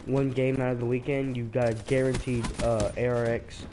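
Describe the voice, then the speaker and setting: A man's voice: medium pitch, flat delivery, normal speed, background noise. Congested teenage boy rambling over gameplay. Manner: rambling, hesitant, with many restarts. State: sick, congested. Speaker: streamer. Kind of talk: casual gameplay commentary.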